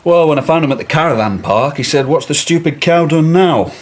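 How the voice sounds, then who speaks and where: A deadpan male detective. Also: a little high, fairly quick. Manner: deadpan storytelling. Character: sardonic male detective constable.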